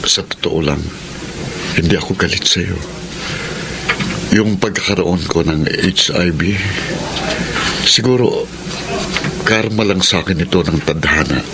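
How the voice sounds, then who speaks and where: A very deep male voice speaks over clear background noise, a humbled, ailing Filipino man in a radio drama. His delivery is solemn and sentimental, forgiving and seeking redemption. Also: a little slow, long pauses. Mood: resigned, forgiving. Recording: loud ambient noise behind the voice.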